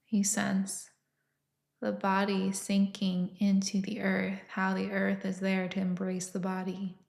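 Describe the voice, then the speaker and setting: A woman leading a guided meditation with soft, soothing, unhurried instruction and long pauses between phrases. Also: mid-range voice, creaky, echoey room.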